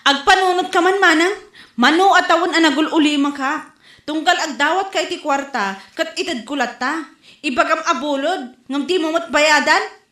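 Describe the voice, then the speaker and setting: A woman's voice: high-pitched, normal speed, a bit bright. A woman playing a fed-up younger sister and working mother in an Ilocano comedy drama, scolding and standing her ground with an Ilocano accent. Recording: roomy echo.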